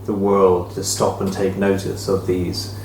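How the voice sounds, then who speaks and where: Heard at some distance in an echoey room with faint noise, a man with a low voice discusses a book. He speaks informally and thoughtfully as a panelist, circling tentatively towards his questions. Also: monotone, unhurried, darkish tone.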